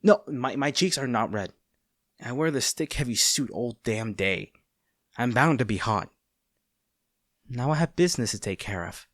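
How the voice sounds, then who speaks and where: A man playing a conflicted heroic captor in a dramatic audio roleplay, earnest and halting, tender toward an old friend turned enemy. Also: mid-range voice, lively intonation, a bit bright, dry recording, clean studio sound. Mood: flustered. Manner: earnest, halting, formal wording with dramatic pauses.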